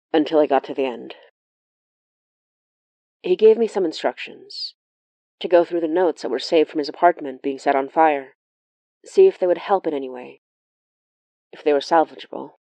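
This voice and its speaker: A woman's voice: low-pitched, dark tone, dry recording. A woman playing a wry, watchful sorcerer who narrates a horror audio diary in a confiding, matter-of-fact way.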